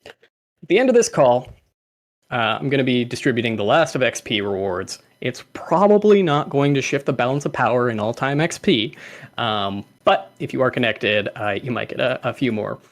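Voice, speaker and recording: A man's voice: medium pitch, lively intonation, moderate pace. A young man hosting a livestreamed community call, reading out names and laughing often. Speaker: host.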